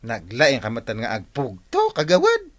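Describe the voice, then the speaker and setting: A man puts on a cheeky village watchman for an Ilocano comedy drama. His pitch swings extremely widely around a middling register. He tattles and teases in an exaggerated way, with an Ilocano accent. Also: huge pitch swings, moderate pace. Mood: teasing, tattling.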